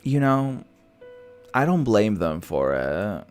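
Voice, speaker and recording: A man's voice: lowish voice, sing-song, takes it slowly, a little mellow, clean tone. A man who is a native Portuguese speaker, giving casual gameplay commentary in a sassy, opinionated way, swearing freely. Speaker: gaming content creator playing a visual novel on stream. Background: background music.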